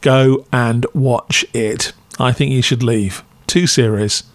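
A man's voice: medium pitch, steady tone, slow, dry recording. Wry male podcast reviewer, dry close recording. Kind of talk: scripted review narration.

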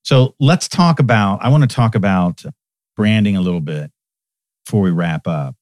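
A man's voice: lowish voice, animated, moderate pace, a little mellow, no echo, studio quality. A man hosting an interview, effusive and eager, often finishing his guest's sentences. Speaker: interview host. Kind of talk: casual interview chat. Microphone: studio microphone.

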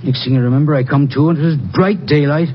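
An actor playing a talkative, eager country farmer with a folksy, excitable manner in an old-time radio drama. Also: male voice, on the high side, fast, close to an everyday voice. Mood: dramatic. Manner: folksy, rambling, excitable storyteller. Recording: noisy, dull 1950s radio recording.

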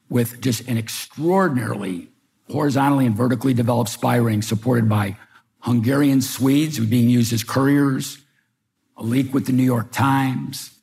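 A man's voice: mid-range voice, darkish tone. Older chicago guy at a museum talk, wry storyteller, trips over his words sometimes. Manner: wry storytelling with hesitant restarts. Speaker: panel speaker, former FBI agent. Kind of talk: public talk, personal recollection. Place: museum event room.

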